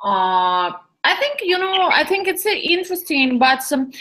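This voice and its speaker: A woman's voice: high voice, big pitch swings, normal speed, sharp tone. A young woman from Ukraine chatting in an English speaking club, hesitant, with many fillers and restarts. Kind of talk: casual chat in learner English.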